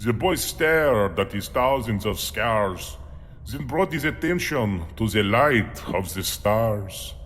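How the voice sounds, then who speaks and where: A monstrous storyteller, played by a man, recites a grim rhymed memoir with theatrical deliberation. The pace is slow, the pitch swoops expressively, and the voice hangs in a long hall reverb. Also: animated, a bit bright. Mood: sorrowful, brooding. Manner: dramatic, deliberate. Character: monstrous male creature reciting his grim rhyming memoir.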